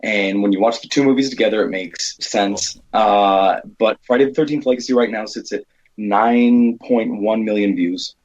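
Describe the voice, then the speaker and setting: A middle-aged American man talks casually as an interview guest. His voice is on the low side. The audio is a bit dull and band-limited. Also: moderate pace, darkish tone. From Arizona, United States. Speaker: interview guest, independent filmmaker.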